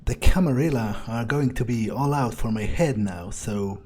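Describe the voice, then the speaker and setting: A man roleplaying a blunt rookie vampire in a video game, with a husky voice and a measured, hesitant, conversational manner. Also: mid-range voice, a little mellow, lightly acted. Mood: guarded, blunt. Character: male rookie vampire turncoat, blunt, self-justifying.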